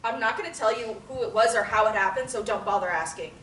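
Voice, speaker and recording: A woman's voice: a little high, fast, a bit bright, close to an everyday voice. A woman at a table reading, playing an abrasive, defensive young woman in court-ordered therapy who is sarcastic and swears freely. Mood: guarded. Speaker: table-read actor. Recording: roomy, distant mic, faint noise.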